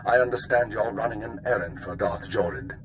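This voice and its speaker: An actor playing a dutiful, restrained intelligence chief in a game cutscene, formal and guarded. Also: male voice, lowish voice, brisk, background noise, lightly acted. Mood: formal, cautious. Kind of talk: video game cutscene acting.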